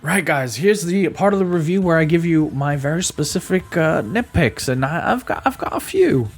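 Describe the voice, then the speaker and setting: A man's voice: on the high side, animated, normal speed. A man giving a casual, conversational video game review, speaking emphatically and often stammering or repeating his words.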